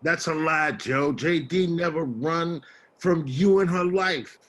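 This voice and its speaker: A man's voice: a little high, slow pace, fairly bright tone. An actor playing a wary, loyal homeless man who challenges and needles, in a stage play reading. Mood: defiant.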